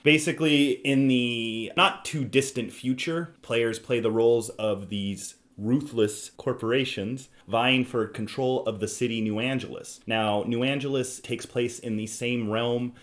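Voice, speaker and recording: A man's voice: medium pitch, fairly even intonation, unhurried, hardly any pauses. A man hosting a podcast, casually talking through board game reviews. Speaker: podcast host.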